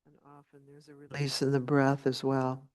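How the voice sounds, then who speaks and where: A woman leading a guided meditation as a teacher, speaking in a gentle, unhurried way with long pauses. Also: a bass voice, completely flat delivery.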